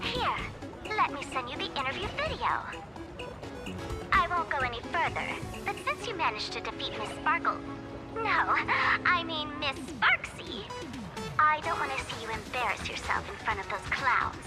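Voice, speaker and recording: A woman voicing a small, excitable, bubbly mascot creature in a video game. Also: sits high, moderate pace. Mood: cheerful, teasing. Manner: bubbly, exclamatory. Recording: loud game music behind.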